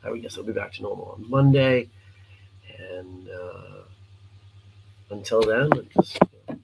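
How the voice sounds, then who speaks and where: A man's voice: on the low side, vocal fry. Middle-aged male host, scratchy worn-out voice, casual and earnest, slight hiss behind. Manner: earnest, encouraging, halting with fillers. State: hoarse, scratchy voice; sleep-deprived. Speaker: host of a martial arts morning show. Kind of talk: casual live-stream chat.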